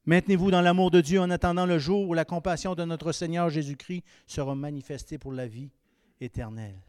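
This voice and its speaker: A man in his fifties with a Québécois French accent, preaching a sermon that swings between raised exhortation and quiet, confiding asides. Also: on the high side, fairly quick, clear, resonant tone, no echo, clean studio sound. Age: 50s.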